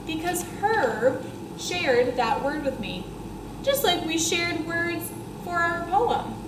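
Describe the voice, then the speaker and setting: A woman voicing a chatty, dramatic first-grade girl from a storybook, with excitable, emphatic, childlike phrasing. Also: high-pitched, pitched above the performer's own voice, heavily acted. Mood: anxious, defensive. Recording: roomy classroom echo, clear background noise.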